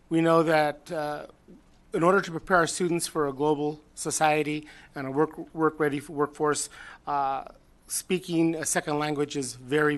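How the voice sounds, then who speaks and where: This is a man addressing a legislative committee in formal testimony. His voice sits a touch higher than most men's. He speaks in a measured way with halting pauses. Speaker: teachers' union president. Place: legislative committee hearing room.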